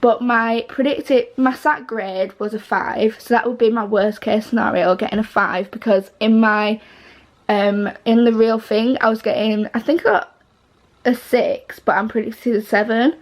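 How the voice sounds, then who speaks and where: A teenage girl talking casually to her camera, her voice pitched a touch high and a little croaky. She rambles along with plenty of fillers and self-corrections. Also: fairly even intonation, unhurried. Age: teens. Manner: chatty, rambling with many fillers and restarts. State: slightly croaky voice. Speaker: vlogger.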